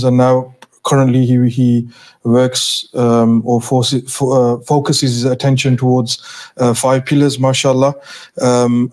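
A man hosts a podcast from a small studio, close on the mic. His pitch is mid-range and stays quite flat. He talks haltingly, pausing often to find his next phrase. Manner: halting, with frequent hesitation. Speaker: podcast host. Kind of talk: hesitant podcast introduction. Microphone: close studio mic on boom arm.

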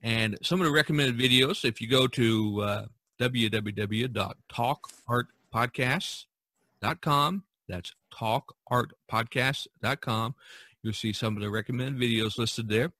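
A middle-aged or older American man hosting a podcast in a conversational way, with a husky voice. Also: mid-range voice, a little slow, bright, forceful tone, no room sound. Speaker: podcast host.

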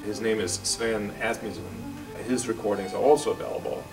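A man in his forties from Denmark with a Danish accent, answering interview questions in a relaxed, thoughtful way with filler pauses. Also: lowish voice, creaky, far from the mic, music in the background, rough recording. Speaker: guest jazz violinist. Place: office room, indoors.

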